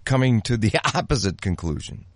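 A man interviewing in a casual, conversational way, haltingly rephrasing his thoughts and questions as he goes. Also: lowish voice, lively intonation, no echo.

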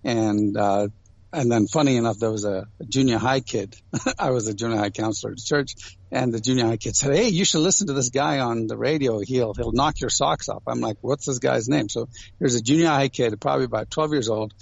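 A man's voice: mid-range voice, a little fast, gravelly, no room sound. Man explaining in dull, lo-fi audio. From Ghana and other countries abroad, Indian family. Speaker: interview guest. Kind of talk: conversational interview, personal storytelling.

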